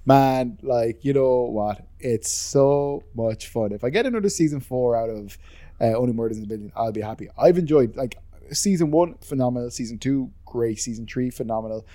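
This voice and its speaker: An Irish man rambles through casual podcast talk, cursing freely and laughing at his own opinions. His voice is mellow and relaxed. The sound is dry and close to the mic. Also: medium pitch, moderate pace, no echo. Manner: rambling, swears freely, self-deprecating. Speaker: podcast co-host. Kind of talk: casual chat.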